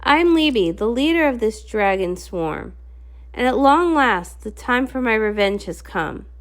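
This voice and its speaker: A woman's voice: fairly high pitch, very animated, unhurried. A woman voicing a vengeful, wounded dragon leader in a menacing, declamatory way for a comic read-along.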